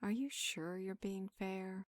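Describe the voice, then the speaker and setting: A woman's voice: mid-range voice, steady tone, takes it slowly, no room sound, studio quality, lightly acted. A woman playing a nurturing, protective magical healer, speaking in a soothing, affectionate, informal way in a roleplay audio drama. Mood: reassuring, reasoning.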